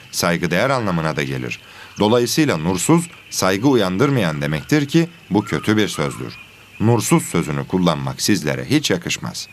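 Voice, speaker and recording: A man playing a patient religion teacher in an educational children's cartoon, explaining things clearly and didactically. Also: deep voice, slight background noise. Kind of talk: scripted educational cartoon dialogue.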